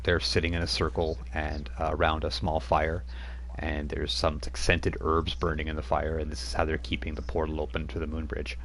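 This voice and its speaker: A man's voice: rumbling low, completely flat delivery, brisk, a bit bright, slight background noise. A man narrating a tabletop game as game master, rambling with many fillers and restarts.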